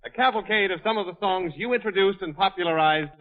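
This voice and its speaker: A man's voice: high voice, fast talker. A man working as a radio announcer, feeding straight lines to a comedian on a 1930s broadcast. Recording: tinny 1930s radio broadcast with clicks and crackle.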